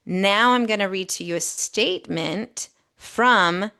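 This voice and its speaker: A woman's voice: mid-range voice, slow, vocal fry, bright, forceful tone, clean tone. A woman co-hosting a podcast, explaining and reading out reports in a methodical, emphatic way, often checking in as she goes. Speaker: podcast co-host.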